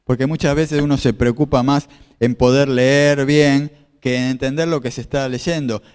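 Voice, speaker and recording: A man's voice: mid-range voice, fairly even intonation, moderate pace, a little mellow, clear, resonant tone, in a large hall. A man preaching a sermon as a pastor, explaining scripture and asking his listeners questions. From Argentina. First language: Spanish. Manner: explanatory, repeats phrases, asks the audience questions. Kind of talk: sermon with slides.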